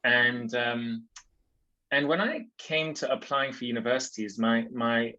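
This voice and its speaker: A man from Switzerland, a podcast guest telling his life story in a thoughtful, reflective way, often pausing on ums. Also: mid-range voice, pushed and bright, highs cut off. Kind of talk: casual interview conversation.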